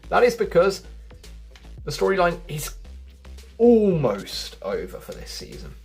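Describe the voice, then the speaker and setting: A man's voice: high-pitched, huge pitch swings, measured pace, darkish tone, breathy edge. A man giving casual, chatty gaming commentary, addressing his viewers directly as a video host. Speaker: video game news YouTuber. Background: background music.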